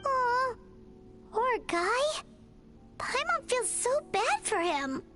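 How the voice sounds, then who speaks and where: A woman's voice: very high voice, very animated, extremely slow, fairly bright tone, faint music behind, full character voice. A woman voicing a tiny, childlike, earnest fairy companion in a video game. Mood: sympathetic. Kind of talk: scripted video game voice acting.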